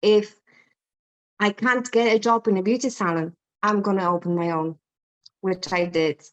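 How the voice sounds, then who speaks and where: A woman's voice: medium pitch, fairly even intonation, normal speed, highs cut off. A woman in her thirties from Poland with a Polish accent, telling her story candidly as a guest on a video call. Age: 30s. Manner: chatty, candid storytelling with fillers. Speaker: guest artist. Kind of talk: casual interview on a video call.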